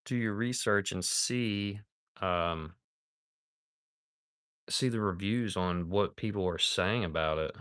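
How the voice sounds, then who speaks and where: A man's voice: sits low, slow pace, pauses often, fairly bright tone, clear, resonant tone, no room sound, studio quality. A man in his thirties chatting casually on a live stream while he builds a model kit, laid-back and rambling, calling viewers dude and man. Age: 30s.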